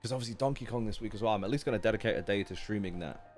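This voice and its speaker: A man's voice: lowish voice, racing, a little mellow, soft background music. A man live-streaming a game with casual, offhand, joking reaction commentary full of slang.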